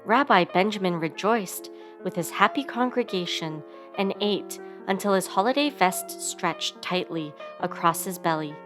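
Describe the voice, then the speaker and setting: A woman's voice: lowish voice, lively intonation, unhurried. A woman reading a children's story aloud expressively, giving sound words theatrical emphasis, with instrumental music behind her. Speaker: storybook reader.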